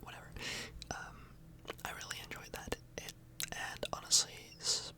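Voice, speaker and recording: A woman speaks entirely in a soft, breathy whisper. She rambles casually in an ASMR style, hesitating and correcting herself as she chats to her viewers. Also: moderate pace. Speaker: ASMR YouTuber, host. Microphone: microphone a little further away than usual.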